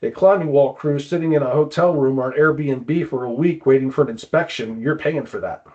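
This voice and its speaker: A man's voice: mid-range voice, fairly quick, darkish tone. A man giving an informal explanatory presentation in a conversational way, on slightly dull lo-fi audio.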